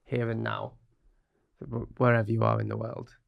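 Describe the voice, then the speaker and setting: A man's voice: lowish voice, level tone, moderate pace, creaky, no echo, lo-fi sound. A man co-hosting a podcast, chatting in a blunt, teasing way. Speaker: podcast co-host. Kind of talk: casual chat.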